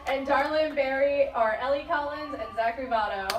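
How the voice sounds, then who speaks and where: A woman announcer with a high voice reads credits live on stage. She is distant and echoey, with a loud crowd all around. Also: brisk, very noisy. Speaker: show announcer. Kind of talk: reading credits to a live audience.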